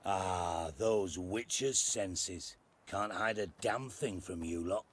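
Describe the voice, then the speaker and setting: Game role: scheming middle-aged British spy chief, deep register, unhurried menace, rhetorical bite. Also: male voice. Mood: sardonic. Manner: deliberate, sardonic, rhetorical. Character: cunning, blunt middle-aged male spymaster, menacing. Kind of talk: video game cutscene dialogue.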